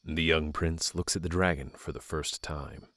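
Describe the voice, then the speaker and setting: A man's voice: rumbling low, fairly even intonation, moderate pace, a bit bright, dry recording, studio quality. A man narrating a fantasy audio drama as a solemn storyteller, reading scripted prose. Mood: measured.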